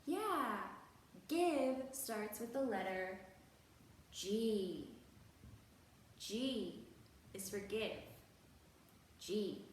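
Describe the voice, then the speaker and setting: A woman's voice: mid-range voice, animated. A woman hosting a children's storytime, teaching young viewers in an encouraging manner and carefully stretching out key words. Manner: encouraging, exaggerated slow enunciation of key words. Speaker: children's library storytime host. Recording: very echoey room, distant mic, faint noise.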